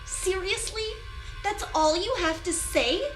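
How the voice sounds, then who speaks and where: A young, sharp-witted spaceship newcomer in an audio drama. Her voice is extremely high-pitched. Music plays clearly beneath it. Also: takes it slowly, low-quality recording. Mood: matter-of-fact.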